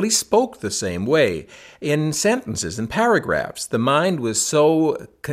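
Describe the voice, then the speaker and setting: Man recalling a colleague, dry and wry. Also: mid-range voice. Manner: dry, wry storytelling with hesitations. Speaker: broadcaster and commentator. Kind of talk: reminiscing interview.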